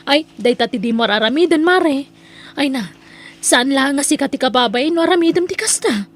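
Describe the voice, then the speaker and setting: Woman acting female confidante, very high voice, Ilocano accent, conversational, exclamatory, audio drama. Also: animated, normal speed. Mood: concerned, advising. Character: chatty female confidante, concerned and advising.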